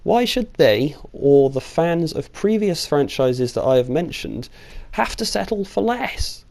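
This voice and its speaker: A man's voice: fairly high pitch, lively intonation, a little slow, gravelly, a little mellow. A British man hosting an online video, giving informal, opinionated commentary with a sardonic streak and some mild swearing. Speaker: video host. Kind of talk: scripted opinion commentary on video game films.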